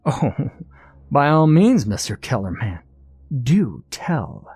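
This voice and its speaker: A sinister, devilish master of games performed by a male narrator speaks with haughty formality. The voice sits fairly low and close and dry, with a sneering, lawyerly condescension suited to a dark storybook. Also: animated, unhurried, no room sound. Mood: sarcastic, bored.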